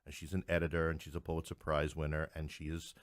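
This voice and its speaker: A man's voice: very deep voice, completely flat delivery, fast talker, dry recording, clean studio sound. A middle-aged man from Queens, New York, talking as an interview guest in a talkative, digressive, wryly joking way. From Queens, New York City. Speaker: interview guest, playwright and English professor. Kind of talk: anecdotal interview conversation.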